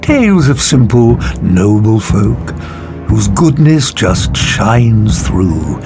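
An actor playing a sinister male storyteller, speaking deliberately and theatrically in an audio drama monologue. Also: fairly low pitch, very animated, exaggerated voice. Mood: silky menace. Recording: music behind the voice.

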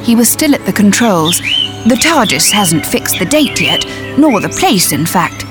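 An actress playing a prim, brisk navy servicewoman, narrating an audio drama in the first person. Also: medium pitch, sing-song. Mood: calm, wry. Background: clear music and birdsong.

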